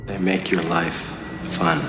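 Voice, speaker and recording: A laconic, enigmatic thriller character with a deep, creaky voice. The sound is echoey, under loud film music. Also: male voice, big pitch swings, slow, exaggerated voice. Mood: cryptic, cool. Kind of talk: film acting.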